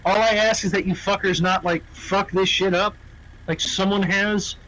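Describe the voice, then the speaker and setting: A man's voice: high-pitched, steady tone, moderate pace, fairly bright tone, poor audio. A man chatting casually during game roleplay, speaking bluntly with plenty of swearing. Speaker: roleplay gamer.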